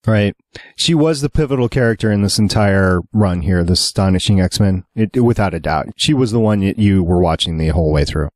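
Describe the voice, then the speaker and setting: A man's voice: low-pitched, expressive, fairly quick, a little mellow, no echo. A man hosting a casual fan podcast in a chatty, enthusiastic way. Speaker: podcast host.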